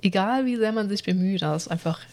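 A woman's voice: mid-range voice, fast talker, darkish tone. A woman co-hosting a casual podcast, chatting loosely with a self-deprecating, laughing manner. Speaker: podcast co-host.

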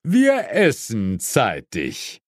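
A man's voice: medium pitch, huge pitch swings, extremely slow, no room sound, studio quality, exaggerated voice. A man voicing a devil's imitation of a stiff, formal, reproving male boss in a horror-comedy audiobook. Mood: stern.

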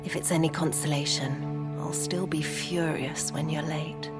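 An actress playing a composed, proud industrialist's wife in a noir audio drama, wry and precise. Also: female voice, sits low, fairly even intonation, unhurried, over music. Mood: resigned, wry.

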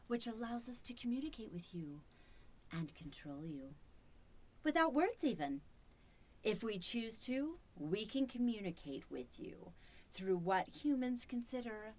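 Horror radio play: actress as menacing female robot, unhurried, condescending, coolly in control. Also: medium pitch, fairly bright tone. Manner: slow, deliberate, condescending. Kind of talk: old-time radio horror acting.

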